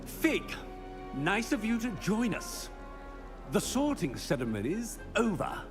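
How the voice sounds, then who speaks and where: An actor playing a haughty, impatient headmaster of a wizard school in a video game, pompous, curt and sneering. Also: male voice, sits high, wildly swooping pitch, slow pace, heavily acted. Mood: scornful, impatient. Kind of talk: cinematic video game acting. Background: orchestral game music.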